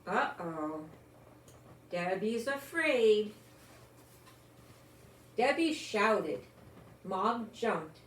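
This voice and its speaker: An older woman reads a children's storybook at a leisurely pace. She is expressive and speaks directly to young listeners with gentle asides. The room is echoey, with some noise behind her. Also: mid-range voice, very slow, pauses often. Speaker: storyteller grandmother reading a picture book.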